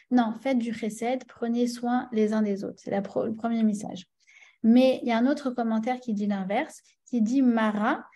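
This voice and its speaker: A woman's voice: on the high side, warm tone. A woman with a French accent teaching a religious class, chatty and full of fillers and restarts, often checking in with her listeners. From France.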